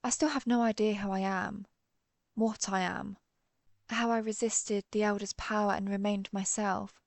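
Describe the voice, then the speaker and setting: Woman acting a gravelly, guilt-ridden vampire huntress. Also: medium pitch, steady tone, no echo, lightly acted. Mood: weary, resigned. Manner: confessional, somber, measured storytelling. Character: centuries-old female vampire hunter turned vampire, guilt-ridden, resolute. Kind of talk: intimate dramatic monologue, audio roleplay.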